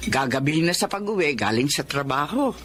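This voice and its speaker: A woman's voice: low voice, big pitch swings, a little fast, a bit bright, a little hiss or hum. A fretful middle-aged mother. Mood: worried. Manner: fretful, pleading. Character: worried mother of a grown daughter. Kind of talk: 1990s Filipino radio drama.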